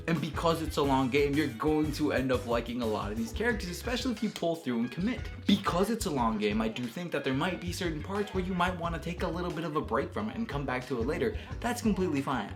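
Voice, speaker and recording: A man giving casual, enthusiastic video game commentary as a reviewer, emphatic and repeating phrases for stress. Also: fairly high pitch. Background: background music.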